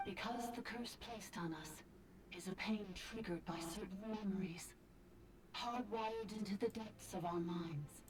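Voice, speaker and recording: A woman voicing a solemn ancient dragon priestess speaking through a robot in a video game, formal, grave and deliberate. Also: medium pitch, a little hiss or hum, lightly acted.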